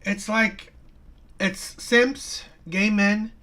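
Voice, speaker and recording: A man hosting a live stream and giving reaction commentary, talking in a halting way with many restarts and tag questions like "right?". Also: high voice, steady tone, bright, forceful tone. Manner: halting, repeats "right?" and "okay?".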